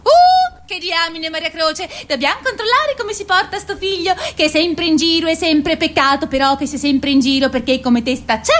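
A woman's voice: extremely high pitch, lively intonation, moderate pace, few pauses, fairly bright tone. A woman playing a haughty, scornful welfare office clerk in a satirical stage drama, bossy and hectoring, repeating her phrases. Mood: condescending. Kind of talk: theatrical satirical acting.

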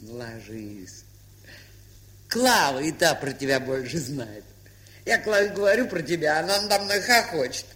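A woman's voice: low-pitched, lively intonation, a bit bright. An older woman playing a kindly, chatty village aunt in an audio play, telling stories in a plain, folksy way. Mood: fond scolding. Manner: folksy, unhurried storytelling with fond scolding. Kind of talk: audio play monologue and dialogue. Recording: hissy, humming 1970s record.

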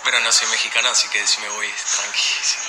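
A man's voice: fairly low pitch, little pitch movement, fast. Echoey noisy recording, Argentine man, interviewee, casual slangy chat.

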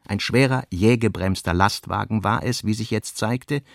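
A man's voice: on the low side, normal speed, gravelly, dry recording, clean studio sound. A man narrating literary prose for a radio play in a scripted reading style.

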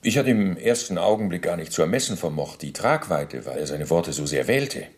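An actor in a radio play voicing a middle-aged Nazi bureaucrat under interrogation, formal, halting and self-justifying, with deliberate pauses. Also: male voice, low-pitched, expressive. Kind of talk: German radio play, testimony monologue.

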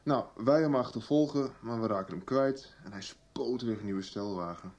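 A man's voice: fairly low pitch, normal speed, darkish tone. An actor playing a young policeman son, talking colloquially in a Dutch radio soap. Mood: eager storytelling. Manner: colloquial, chatty. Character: young policeman son recounting his case to his mother.

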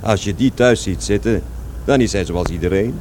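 A man's voice: lowish voice, normal speed, darkish tone, a little hiss or hum, close to an everyday voice. An actor in a radio play playing an aggrieved, rambling man who recalls his past in conversational, self-correcting speech. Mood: wry, aggrieved. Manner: digressive, self-correcting, repeats words emphatically.

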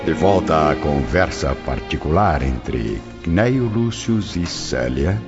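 A man's voice: a bass voice, lively intonation, takes it slowly. A man with a Brazilian accent narrating a radio drama from a script. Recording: muffled lo-fi with music bed.

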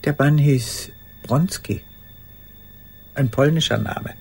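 An actress playing an old woman in a radio play monologue, courteous and haunted, recalling the past in a halting, breathy, raspy voice. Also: very deep voice, darkish tone, soft background music, exaggerated voice, rough recording. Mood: reflective, measured. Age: older. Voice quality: husky, raspy, breathy, often dropping to a whisper. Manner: deliberate, reflective, halting.

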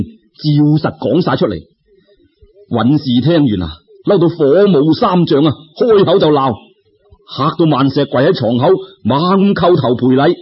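A man's voice: a little high, breathy edge. A man telling a folk tale in Cantonese, colloquial and dramatic, peppered with exclamations and sound words. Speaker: storyteller. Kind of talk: animated folk-tale narration. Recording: slightly dull, lo-fi audio.

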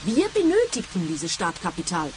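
An actress playing a bitter, entitled widow in a detective radio play, dramatic and threatening in manner. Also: female voice, on the low side, wildly swooping pitch, moderate pace, slight background noise. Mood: defensive.

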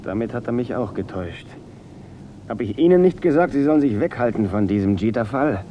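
An actor playing a bitter, menacing gangster out for revenge in a German radio drama. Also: male voice, mid-range voice, expressive, a little fast, lightly acted. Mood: threatening. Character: bitter vengeful male gangster holding a gun. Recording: dull radio recording, noisy backdrop.

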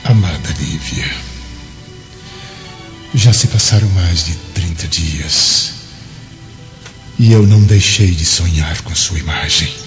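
A lovesick Roman ruler in a radio play, theatrical and ardent. His deep voice moves very slowly and rises and falls dramatically. Music sits behind the muffled recording. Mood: yearning, ardent. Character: lovesick Roman governor, yearning, secretive husband.

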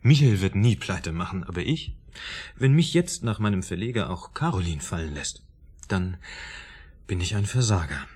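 Low-voiced, self-pitying failed writer. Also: male voice, expressive, normal speed, darkish tone, slightly rough. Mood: bitter, dejected. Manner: confessional, self-deprecating. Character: self-pitying unlucky failed writer, a man confessing in therapy. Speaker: therapy patient. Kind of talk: radio drama dialogue.

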